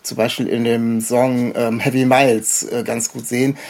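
A man hosting a casual interview, speaking in a hesitant, halting way as he puts his questions. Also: medium pitch, level tone, darkish tone. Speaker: podcast host, interviewer.

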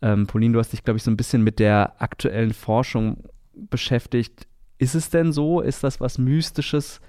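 A man's voice: mid-range voice, fairly even intonation, moderate pace, warm tone, no room sound, clean studio sound. A man co-hosting a podcast in a conversational style. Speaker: podcast co-host.

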